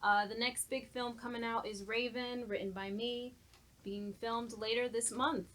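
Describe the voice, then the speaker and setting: A woman talks as a podcast co-host with a bright, punchy voice pitched a bit high. Her delivery is informal and playful, like casual chat among hosts. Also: steady tone, measured pace.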